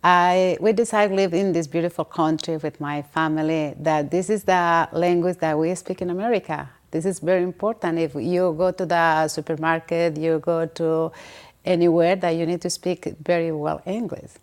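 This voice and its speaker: Brief: Colombian woman, Spanish-native, earnest learner's English, slightly low voice. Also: steady tone. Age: adult with school-age children. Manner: earnest, simple halting English. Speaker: adult English learner, guest. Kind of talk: interview answers.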